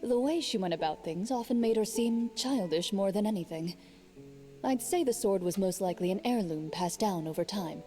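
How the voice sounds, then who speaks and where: A woman voicing a reserved young duelist narrating as game master, calm and deadpan. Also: mid-range voice, normal speed, soft background music, close to an everyday voice. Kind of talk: game voice acting.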